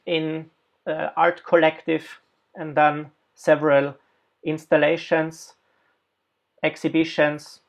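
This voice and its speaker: A man from Austria, a designer and researcher, giving an academic guest lecture with halting speech and many fillers. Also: a little high.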